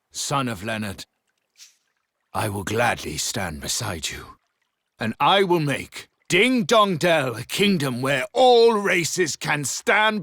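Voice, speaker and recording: An actor playing a proud, solemn mouse king in a fantasy game, speaking formally and with feeling in a husky, theatrical voice. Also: a little high, pushed and bright, no echo, full character voice. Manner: formal, archaic, emotional delivery. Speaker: game character.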